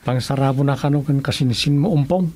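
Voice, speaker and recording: A man's voice: medium pitch, fast talker, darkish tone, natural voice. A man acting as an easygoing villager in a conversational radio drama. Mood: explaining.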